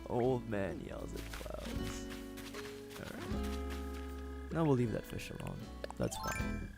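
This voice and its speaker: Hoarse gravelly male streamer. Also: on the low side, huge pitch swings, takes it slowly. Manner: rambling, joking, laughs often. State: hoarse, sore throat. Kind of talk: casual gameplay commentary. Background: game music.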